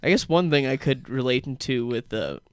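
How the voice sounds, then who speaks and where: A man's voice: medium pitch, expressive, normal speed, smooth, dry recording. A man who is a comedian guest on a radio show, riffing jokes in casual chat in a studio. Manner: jokey, riffing with playful bits.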